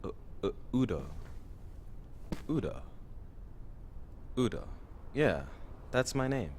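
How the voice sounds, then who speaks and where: A man's voice: mid-range voice, big pitch swings, slow pace, darkish tone, a little hiss or hum. A man playing a hapless, confused male lost soul in an audio drama, with a flustered, stammering delivery. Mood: bewildered.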